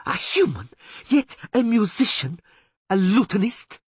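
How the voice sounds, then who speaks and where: A man's voice: mid-range voice, very animated, moderate pace, breathy edge, no room sound, pitched above the performer's own voice. A man reading a story, voicing a cruel, spiteful young female elf warrior who hisses her taunts. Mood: savage scorn. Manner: hissing, contemptuous taunts.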